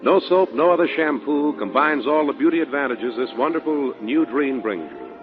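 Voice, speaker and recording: Male American 1940s radio announcer, polished and emphatic selling, very animated, thin phone-like vintage broadcast. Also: moderate pace. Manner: polished, emphatic sales pitch. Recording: tinny 1940s radio broadcast, music behind.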